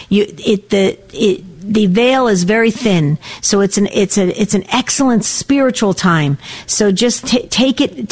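An earnest woman hosting a call-in prayer show on the radio. She encourages her callers gently, often restarting her sentences as she talks. Her pitch sits in the middle of her range. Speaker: radio host.

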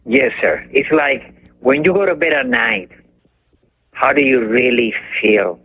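An older man from Cuba with a Cuban accent, calling in to a radio show and talking haltingly, searching for words. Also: mid-range voice, expressive, vocal fry, slightly rough. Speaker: caller. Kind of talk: call-in radio chat. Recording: tinny phone line with faint noise.

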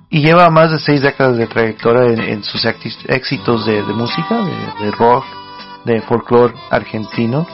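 A man's voice: medium pitch, vocal fry, lo-fi sound. Chatty male radio host with fillers. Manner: chatty, rambling, laughs often, hesitant fillers. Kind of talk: casual radio chat.

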